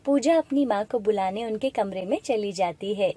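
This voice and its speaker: A woman reading scripted narration for an animated moral story as its storyteller. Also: medium pitch, moderate pace, breathy edge.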